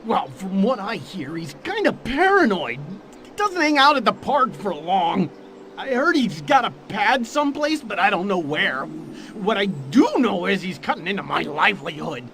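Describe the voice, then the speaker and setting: A high, swooping voice for a twitchy hippie stoner in a video game. He gossips in slangy, mellow phrases with a nervous edge, over faint background noise. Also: sing-song, moderate pace. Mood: laid-back, gossipy. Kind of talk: video game cutscene voice acting.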